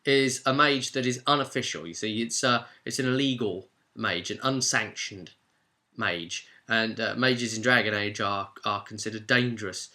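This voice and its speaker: Bright, forceful man telling a game story. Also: lowish voice, moderate pace. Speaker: host and game master recapping a role-play session. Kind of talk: animated storytelling recap to viewers.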